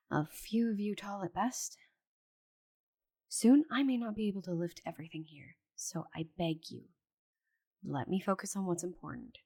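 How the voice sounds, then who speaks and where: Casting: proud giant she-dragon voiced by a woman for ASMR roleplay. Breathy, slow-paced, condescending, flustered and muttering to herself. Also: lowish voice, a little mellow, full character voice. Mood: pleading. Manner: haughty, exasperated, condescending endearments, muttering to herself. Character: proud stubborn giant female dragon, flustered while shrinking.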